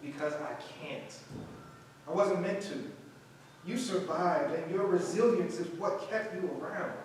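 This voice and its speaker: Male actor as humble teacher-director, high voice, stage drama, distant and reverberant with faint noise. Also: lively intonation, measured pace. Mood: warm, surprised. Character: humble acting teacher turned director, proud and emotional. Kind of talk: staged play reading. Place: large echoey indoor room. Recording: echoey hall from afar, faint noise.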